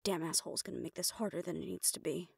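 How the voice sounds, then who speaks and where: A woman's voice: fairly low pitch, quick pace, vocal fry, breathy edge, no echo, clean studio sound, heavily acted. A woman playing a stressed, foul-mouthed, fiercely loyal mafia assistant in an audio roleplay, exasperated and clipped. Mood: angry, threatening. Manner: exasperated, clipped, heavy swearing, sighs. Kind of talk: dramatic audio roleplay, close solo performance.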